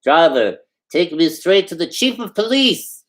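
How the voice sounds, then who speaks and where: A man voicing a frantic, indignant official who shouts imperious orders in a storybook reading. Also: fairly high pitch, very animated, measured pace, voice pushed up.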